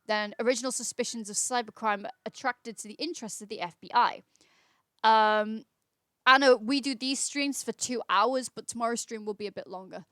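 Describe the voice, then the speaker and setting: A woman's voice: a little high, moderate pace, a bit bright, no echo, clean studio sound. A woman hosting a casual livestream, reading aloud in an informal, chatty way. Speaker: livestream host. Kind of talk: casual livestream read-aloud with chat replies.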